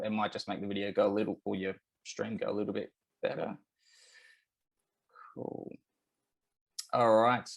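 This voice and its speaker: Australian male presenter, mid pitch, loose and relaxed, lots of ums, computer mic. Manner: relaxed, many fillers and restarts. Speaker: webinar presenter. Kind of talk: presenting a technical webinar.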